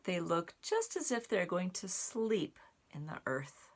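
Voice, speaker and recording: Slow, expressive woman reading to children. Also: on the low side. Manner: slow, deliberate phrasing with short pauses and animated emphasis. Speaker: storyteller reading a children's picture book aloud with signing. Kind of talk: expressive read-aloud for young children.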